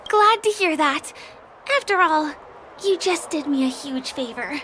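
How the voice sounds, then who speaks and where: An actress voicing a cheerful, gentle young woman who works as a local tour guide in a video game, speaking politely and eagerly. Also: high-pitched, animated, unhurried, slight background noise, heavily acted. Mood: relieved. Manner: polite, friendly, eager to please. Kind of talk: English video game dub dialogue. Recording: game music behind the voice. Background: background game music.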